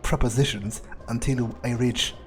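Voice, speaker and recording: A man with a husky voice improvising in-character commentary on a game, casual and sweary as he thinks aloud. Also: medium pitch, steady tone, a little slow, faint music behind. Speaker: gamer playing in character.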